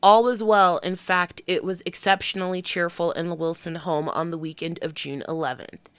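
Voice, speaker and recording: A woman's voice: fairly low pitch, fairly even intonation, moderate pace, clear, resonant tone, no room sound. A woman hosting a true crime podcast with allergies and a slightly nasal voice, reading the case and slipping into casual, profane asides. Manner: reads the case then breaks into slangy, profane asides.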